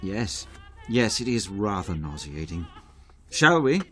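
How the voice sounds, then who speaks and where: A man's voice: lowish voice, very animated, takes it slowly, sharp tone, exaggerated voice. A man acting as a dignified, formal commander in an audio drama, speaking in precise, wordy phrases. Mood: composed, dry. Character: formal, dignified male commander of a secret agency. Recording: music playing behind.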